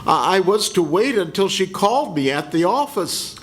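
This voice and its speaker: A man's voice: high-pitched, very animated, moderate pace, a bit bright. An older man in a senior center radio drama reading, playing a nervous husband who stammers when questioned. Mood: flustered. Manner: flustered, stammering. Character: nervous husband suspect, man, middle-aged. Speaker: amateur radio actor.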